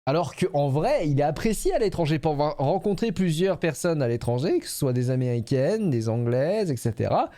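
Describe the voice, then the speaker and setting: A man's voice: fairly high pitch, animated, fast, few pauses, warm tone. A man with a French accent, a game streamer giving casual, jokey, teasing live commentary. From France. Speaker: streamer playing a dating game.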